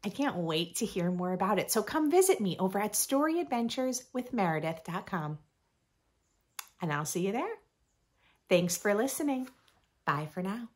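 A woman in her thirties reading aloud expressively for young children, with playful emphasis and deliberate phrasing. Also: medium pitch, lively intonation, slightly rough. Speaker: host of a children's read-aloud channel. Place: small furnished room indoors.

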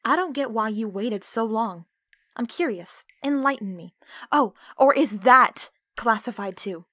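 A woman's voice: on the high side, animated, measured pace, a bit bright, dry recording. An actress playing a defiant, foul-mouthed female captive in an audio drama, biting and sarcastic.

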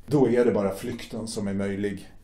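An actor playing a young sailor who angrily refuses to join the party, indignant and emotional. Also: male voice, on the low side, steady tone, echoey room, crowd noise, natural voice. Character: outraged young sailor brother, anti-fascist. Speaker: Stefan, younger brother. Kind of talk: amateur audio drama acting.

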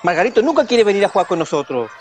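A man's voice: high-pitched, big pitch swings, racing. A man playing a mocking little Chilean schoolboy bully in a radio drama.

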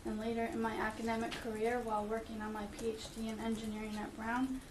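A middle-aged woman reading a letter aloud at a school meeting, steady and careful, with occasional fillers. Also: on the high side, fast. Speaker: parent speaking at public comment. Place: meeting room with audience. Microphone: far room camera. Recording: echoey room, distant camera, background noise.

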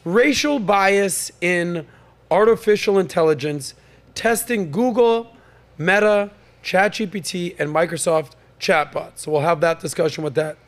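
A man's voice: high voice, a little slow. A man hosting a podcast panel, upbeat and joking, with plenty of fillers and restarts. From United States. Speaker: podcast host. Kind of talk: casual panel talk show hosting.

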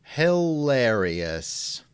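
A man's voice: mid-range voice, very animated, very slow, clean tone, no echo. A man hosting a scripted podcast interview with a dry, sardonic manner, close on the microphone.

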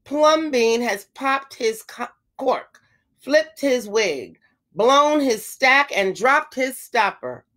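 A woman's voice: sits high, very animated, slow pace, bright, forceful tone, pitched above the performer's own voice, exaggerated voice. A woman reading aloud the part of indignant, gossiping neighbors in a storybook, exclaiming and scolding. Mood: scandalized.

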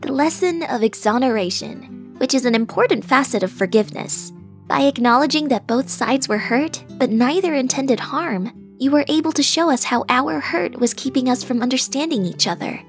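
A woman's voice: high-pitched, expressive, normal speed, soft background music. A woman voicing a bookish young pony. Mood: earnest. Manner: precise, explanatory, bookish. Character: studious, earnest young female unicorn pony.

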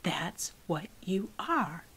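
A woman's voice: lowish voice, slow pace, creaky, slight background noise. Give me a cold, stern schoolteacher as a woman reads her. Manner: cold, clipped commands.

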